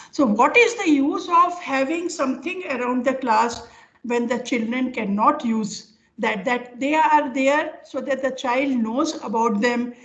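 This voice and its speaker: Older indian lady prof doing an online lecture, kinda high voice, halting and explainy, muffled lo-fi call sound. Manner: hesitant, halting, explanatory with personal examples. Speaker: professor giving an online lecture. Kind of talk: informal academic lecture. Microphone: video call. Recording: dull, lo-fi online call audio.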